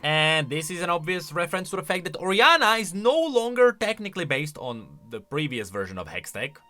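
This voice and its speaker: Male commentary host. Bright, forceful voice with lots of swing, conversational and emphatic. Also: on the high side. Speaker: video host. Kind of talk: casual reaction and explanation commentary.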